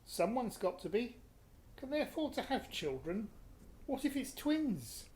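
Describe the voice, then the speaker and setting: An English actress playing a dry, practical, sardonic middle-aged parent who grumbles, in a radio play. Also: female voice, on the high side, big pitch swings, unhurried, darkish tone. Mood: grumbling.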